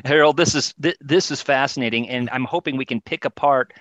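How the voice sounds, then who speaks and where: A man's voice: medium pitch, a little fast, fairly bright tone, no echo. A man hosts a podcast and interviews his guest in a friendly, polite way. The recording is dry and close, with a dull, lo-fi quality. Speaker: podcast host.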